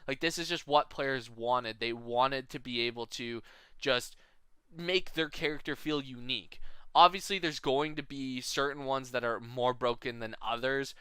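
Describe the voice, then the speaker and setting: A man's voice: mid-range voice, measured pace, fairly bright tone, clear, resonant tone, no room sound. A man giving a casual, informal explainer as commentary for a gaming video. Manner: explanatory, informal, often checking with "right?". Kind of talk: casual explainer commentary over game announcement images.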